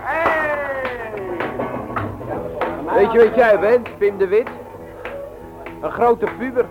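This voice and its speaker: An actor playing a brash, calculating young petty thief in a 1960s radio drama, on a dull recording. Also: male voice, extremely high pitch, lively intonation, slow, full character voice. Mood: cocky, calculating. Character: cocky scheming youth, leader of petty book thieves. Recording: dull old radio recording, music behind. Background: music.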